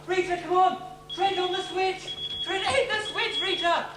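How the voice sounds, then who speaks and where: A performer playing an excitable, dim-witted young hero's sidekick in a stage pantomime. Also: very high-pitched, moderate pace. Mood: urgent. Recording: echoey, noisy amateur stage recording.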